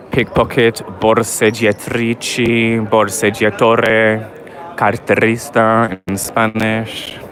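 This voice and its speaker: A man walking city streets at night, chatting wryly to his livestream viewers. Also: lowish voice. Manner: chatty, wry, talks to live chat. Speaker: livestream vlogger. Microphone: handheld phone or camera mic while walking. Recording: loud street noise behind. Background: traffic and street ambience.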